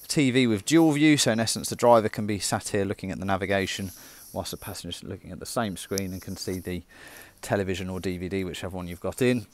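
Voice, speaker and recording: British male car dealer, lowish voice, informal showroom walkaround, chatty sales delivery. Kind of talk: walkaround sales presentation, semi-casual commentary.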